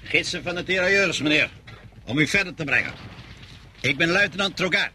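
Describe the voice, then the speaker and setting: Bright-voiced dutiful army lieutenant. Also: male voice, a little high, a little fast. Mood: dutiful, alert. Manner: crisp military reporting. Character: dutiful male lieutenant, front-line guide. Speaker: actor in a radio play. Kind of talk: radio drama acting. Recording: slightly noisy old radio recording.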